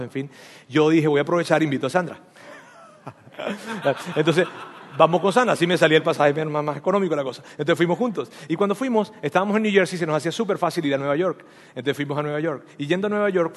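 A man's voice: fairly high pitch, long reverb tail. A man from Venezuela, preaching a sermon with humorous stories and asking his audience questions.

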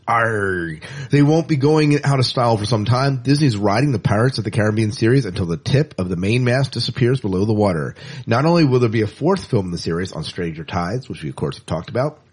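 A man co-hosting a podcast, chatting casually and in an animated way about movie news. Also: mid-range voice, slightly rough. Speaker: podcast co-host.

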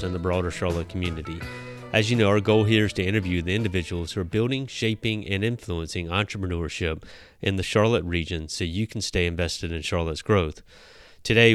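A man with a low, deep voice hosts a podcast, chatting loosely and filling gaps with "um" and "you know." His voice is smooth and resonant, recorded close and dry with no room sound. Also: no echo. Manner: chatty, rambling with many fillers. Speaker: podcast host. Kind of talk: podcast interview.

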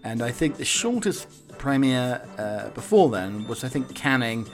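A man's voice: medium pitch, big pitch swings, measured pace, soft background music. A man hosting a comic talk on the week's news, wry and digressive, with hesitant asides. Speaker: podcast host.

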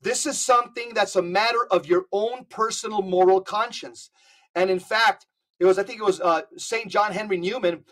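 A man speaking as a podcast guest, urging listeners with rapid lists of instructions. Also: sits high, a bit bright. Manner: urgent, exhorting, rapid lists. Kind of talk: casual podcast talk.

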